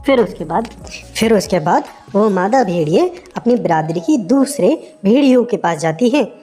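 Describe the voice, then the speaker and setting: A woman's voice: medium pitch, expressive, normal speed, dark tone, soft background music. A woman narrating a children's moral story in an informal, conversational, improvised way.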